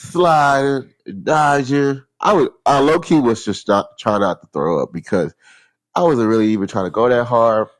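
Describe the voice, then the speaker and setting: A man's voice: medium pitch, normal speed, dry recording, clean studio sound. A man, co-hosting a casual podcast chat in a laid-back, rambling, slangy way. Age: 30s. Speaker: podcast co-host.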